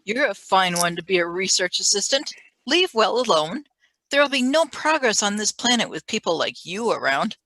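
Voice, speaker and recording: Woman playing a bright, scornful rebel. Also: mid-range voice, big pitch swings, moderate pace, dry recording, low-quality recording. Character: scornful, defiant female rebel scientist. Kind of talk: live script reading, radio play.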